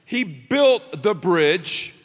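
A middle-aged man preaching a sermon as pastor, telling stories with animated delivery and shouting out acted-out lines. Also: high-pitched, drawn-out words, big-room sound.

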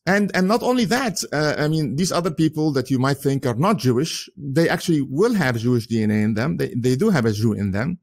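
A man's voice: medium pitch, lively intonation, fairly quick, few pauses, a little mellow. A man whose first language is Arabic, giving an earnest solo commentary in English with frequent chuckles and many "uh"s and restarts.

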